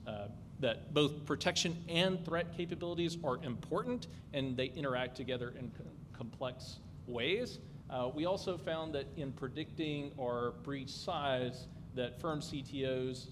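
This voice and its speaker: A man's voice: medium pitch, noisy background. Male researcher presenting in a reverberant hall. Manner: measured, explanatory, occasional fillers. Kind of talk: academic presentation.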